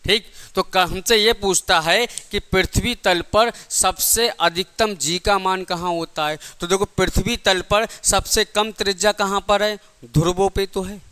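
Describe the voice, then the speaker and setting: A man's voice: high voice, fairly even intonation, measured pace, few pauses, sharp tone, smooth. A man teaching a lecture in a didactic way, repeating points and asking rhetorical questions. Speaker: physics teacher for exam coaching. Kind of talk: classroom lecture.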